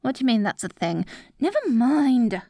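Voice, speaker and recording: A woman's voice: fairly high pitch, animated, moderate pace, vocal fry, dark tone, no echo, studio quality. A woman playing an adorkable evil sorceress queen in a scripted roleplay audio, petulant and gleefully wicked, sliding from theatrical gloating into casual chatter. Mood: exasperated scolding.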